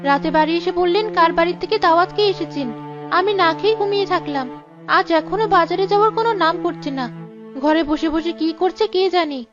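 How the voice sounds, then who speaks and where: Casting: actress as long-suffering cartoon newlywed wife, very high voice, pleading and lamenting, lo-fi sound. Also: female voice, fast. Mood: plaintive, pleading. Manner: plaintive, polite, lamenting. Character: long-suffering, hungry young bride, pleading and reproachful. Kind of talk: cartoon dialogue acting. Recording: dull lo-fi sound with music behind.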